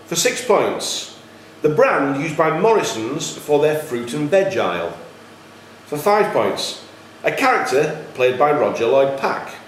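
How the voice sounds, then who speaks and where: A man working as a quiz host, reading questions aloud in a deliberate, enunciated way. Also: a little high. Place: echoey indoor room at a cricket club. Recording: very echoey room, faint background noise.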